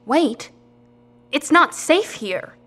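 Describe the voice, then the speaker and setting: A woman's voice: high-pitched, lively intonation, extremely slow, sharp tone, exaggerated voice. A woman playing a frightened young woman held captive, who speaks in an urgent, pleading way, in an audio drama.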